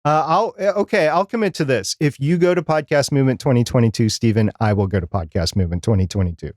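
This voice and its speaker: A middle-aged man talks as a podcast co-host in an unscripted live chat. His pitch is average for a man, and his explanations wander conversationally. The sound is close and dry, with very little room. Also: quick pace, a little mellow, no room sound.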